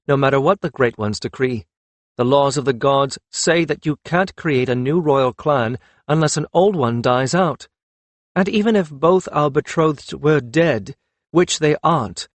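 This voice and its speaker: A man's voice: on the high side, no room sound, voice pushed up, close to an everyday voice. A man voicing a grave, resolute young noblewoman with a wry, firm manner in an audiobook. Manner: simple, firm, matter-of-fact.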